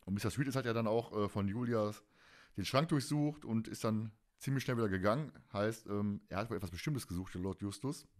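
A man's voice: on the low side, brisk. A man co-hosting a podcast, recapping a story in casual, rambling colloquial talk that often doubles back on its phrases. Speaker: podcast co-host. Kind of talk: casual podcast chat, recapping an audio drama.

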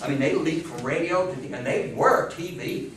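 Emphatic American lecturer, very echoey room. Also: male voice, fairly high pitch, moderate pace, fairly bright tone. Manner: emphatic, asks rhetorical questions. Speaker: lecturer on radio history. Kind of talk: informal public history talk. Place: small indoor meeting room with seated audience. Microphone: distant camera microphone. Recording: echoey room, far microphone, faint hiss.

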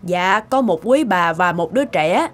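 A woman's voice: on the low side, animated, a little slow, slight background noise. A woman playing a courteous, composed lady in a cartoon. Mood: polite. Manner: polite. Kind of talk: animated cartoon dialogue. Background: music.

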